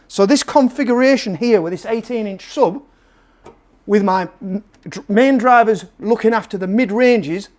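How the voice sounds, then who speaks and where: A man presenting a casual product review to camera, emphatic and salesman-like, repeating phrases to drive his point home. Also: high-pitched. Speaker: presenter and seller of PA gear.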